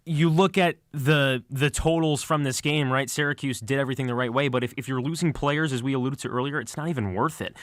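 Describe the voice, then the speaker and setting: Earnest male sports radio analyst, medium pitch. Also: fairly even intonation, fairly quick, few pauses, a bit bright, no echo, clean studio sound. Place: radio studio. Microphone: close studio microphone.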